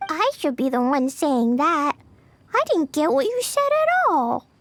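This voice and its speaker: A woman's voice: high voice, big pitch swings, measured pace, a little hiss or hum, full character voice. A woman voicing a cheerful, impulsive little girl in a game cutscene, bubbly and childlike. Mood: innocent, puzzled. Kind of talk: video game cutscene voice acting. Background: game music.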